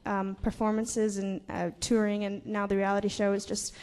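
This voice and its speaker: A woman's voice: mid-range voice, little pitch movement, normal speed, darkish tone, clean tone. A young woman in a family band chatting casually as an interview guest, giggly and peppering her talk with "you know". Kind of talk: casual onstage interview chat.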